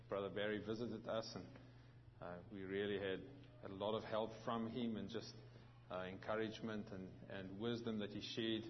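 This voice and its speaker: A man's voice: on the low side, dull audio, a little hiss or hum. A man from South Africa with a South African accent tinged by New Zealand, preaching as a visiting pastor with frequent restarts and fillers. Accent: South African with some New Zealand. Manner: halting, many restarts and fillers. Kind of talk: sermon.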